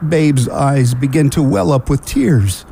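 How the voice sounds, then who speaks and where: A man's voice: mid-range voice, animated, unhurried, gravelly, dark tone, slight background noise. A man narrating a scripted family radio drama in a storybook style.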